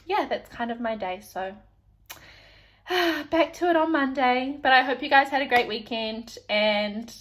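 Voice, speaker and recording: A young woman with a New Zealand accent talks casually to her viewers. She rambles and restarts, dropping plenty of "like"s, in a voice that sits a little on the high side. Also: animated, measured pace, a bit bright, slight background noise. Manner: chatty, rambling, frequent "like" and restarts. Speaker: vlogger. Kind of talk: casual chat to camera.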